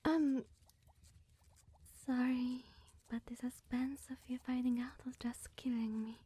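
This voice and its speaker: A woman playing a lonely, awkward immortal witch in an ASMR roleplay, speaking in a breathy, whispery, hesitant and coy way. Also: high voice, little pitch movement, slow, warm tone, exaggerated voice. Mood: giddy, coy.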